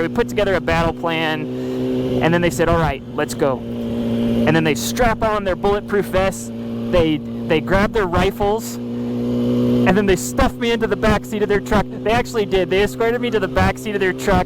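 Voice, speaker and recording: A man telling a personal travel story in a casual, conversational way, acting out quotes with emphatic pauses. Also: high-pitched. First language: English. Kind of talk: animated first-person storytelling, casual chat. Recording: noisy outdoor recording with vehicle sounds.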